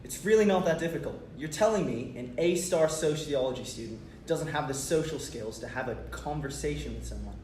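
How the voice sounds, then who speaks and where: A man's voice: fairly high pitch, brisk, hardly any pauses, a little mellow, lightly acted. Cocky teenage schoolboy, read by a man, in an echoey room. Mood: pushy, exasperated. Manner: bantering, sarcastic, goading. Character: cocky teasing teenage schoolboy, pushy best friend. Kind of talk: stage play table read. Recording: roomy distant mic, faint noise.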